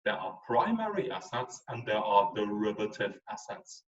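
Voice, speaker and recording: Male professor, 40s, German accent, lowish animated voice, chatty online lecture, muffled lo-fi call audio. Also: normal speed, distant mic. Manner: relaxed, chatty, with frequent ums.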